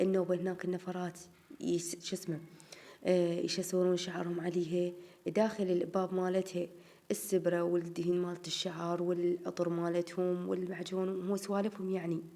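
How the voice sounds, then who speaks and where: A woman's voice: lowish voice, warm tone. A woman with an Iraqi Arabic accent, narrating her home routine in casual chat to her viewers, her voice a little tired and strained. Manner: chatty, addresses viewers as girls. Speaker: home vlogger.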